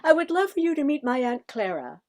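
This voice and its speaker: A woman acting a refined lady professor in a radio comedy. Her voice is very high and swoops freely, with an arch, theatrical curiosity. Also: big pitch swings, fairly quick, a little mellow. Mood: amused, curious. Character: refined, curious woman professor who scorns mundane cheese. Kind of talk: comic radio play acting.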